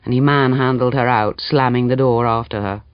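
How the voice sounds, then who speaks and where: A man narrates literary fiction as an audiobook reader, measured and expressive in his telling. His voice sits at a middling pitch, recorded very dry with a slightly muffled top end. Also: clean tone, dry recording. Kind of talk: dramatic literary story narration.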